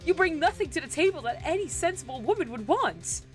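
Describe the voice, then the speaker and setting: A woman's voice: high-pitched, big pitch swings, fairly quick. A woman playing a scornful, insistent young female defense lawyer in an amateur video game dub, with music behind.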